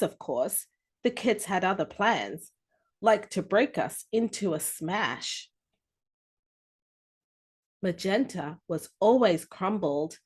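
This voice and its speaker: A woman reading a children's story aloud with expressive, deliberate phrasing. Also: mid-range voice.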